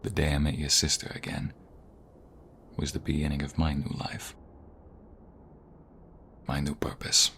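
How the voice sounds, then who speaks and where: A man acting a protective reformed mobster and devoted fiancé in an ASMR roleplay, earnest, measured and intimate. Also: a bass voice, monotone, pushed and bright, slight background noise, heavily acted. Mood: earnest, resolute.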